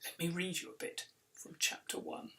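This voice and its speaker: A British man, an author, giving an expressive reading of a children's story. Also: moderate pace, distant mic, heavy background noise. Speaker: author reading own book.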